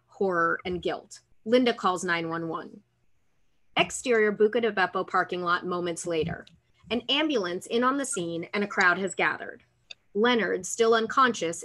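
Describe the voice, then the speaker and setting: Woman narrator for a table read, clear-cut bright tone, measured dramatic delivery, on a video call. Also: medium pitch. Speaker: narrator reading stage directions. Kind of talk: scripted narration.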